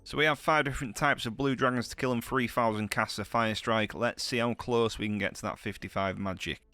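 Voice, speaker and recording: A man's voice: mid-range voice, steady tone, brisk, sharp tone, dry recording, faint music behind. A man with a heavy cold, talking through his gameplay in a chatty, upbeat way, full of slang. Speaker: gaming channel host. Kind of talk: gameplay commentary.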